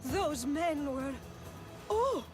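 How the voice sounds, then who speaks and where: A woman playing a composed, earnest village doctor in a video game drama, measured and polite in manner. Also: sits high, lively intonation, music in the background, heavily acted.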